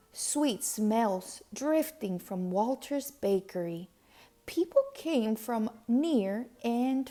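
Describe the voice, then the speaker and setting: A woman narrates a children's picture book in a mellow, relaxed voice. She reads very slowly, setting out each phrase with care. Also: mid-range voice. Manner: deliberate, measured phrasing. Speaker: read-aloud storyteller.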